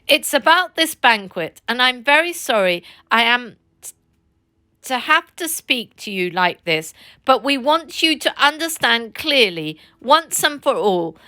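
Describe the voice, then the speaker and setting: Woman doing the rat, firm but kind, a bit higher, slow and careful. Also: sing-song, bright, forceful tone, heavily acted. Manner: firm, earnest.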